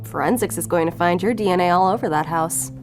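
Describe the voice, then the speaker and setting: An actress playing a driven, short-tempered special agent in an audio drama, blunt and confrontational. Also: female voice, on the low side, quick pace, faint music behind, lightly acted. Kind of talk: audio fiction acting.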